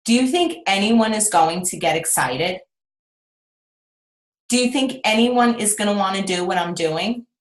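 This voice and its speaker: An energetic woman leads her team through a motivational video call, throwing out rhetorical questions as she coaches. Her voice carries a roomy echo from the room around her. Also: mid-range voice, a little slow.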